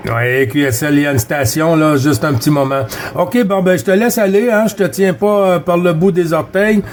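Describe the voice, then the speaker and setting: High-pitched Quebec man on CB radio. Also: normal speed. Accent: Quebec French. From Quebec, Canada. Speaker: amateur CB radio operator running contacts. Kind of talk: casual radio chat.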